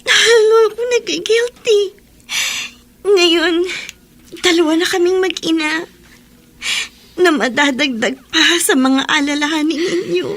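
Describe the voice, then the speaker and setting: A woman's voice: very high voice, takes it slowly, pauses often, a bit bright, exaggerated voice. An actress playing a remorseful, tearful young daughter who has just become a mother, in a radio drama. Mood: tearful guilt. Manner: tearful, respectful, pleading. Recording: music under the voice.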